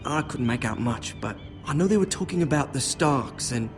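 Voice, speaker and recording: A man's voice: mid-range voice, expressive, moderate pace, lightly acted. A man playing a humble, polite young servant boy in a medieval fantasy game, speaking in a deferential, hesitant way. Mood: confiding, cautious. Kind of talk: video game drama acting.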